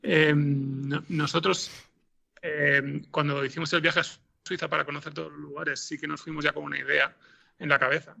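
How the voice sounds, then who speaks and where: A man's voice: on the high side, gravelly, sharp tone. A man with an accent from Spain giving unscripted interview answers as a writer, hesitant and rambling, often repeating words. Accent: Spanish from Spain. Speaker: interview guest, podcast scriptwriter.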